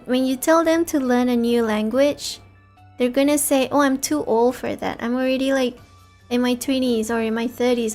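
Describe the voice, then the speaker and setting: A woman in her thirties, a native English and Malay speaker, talks to her stream viewers in a slightly high voice, tired in the small hours. She rambles and rephrases her thoughts in loose, reflective chat. Faint music plays quietly beneath her. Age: 30s. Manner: rambling, reflective, self-correcting. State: tired late at night. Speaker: VTuber streamer. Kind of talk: late-night casual chat with viewers.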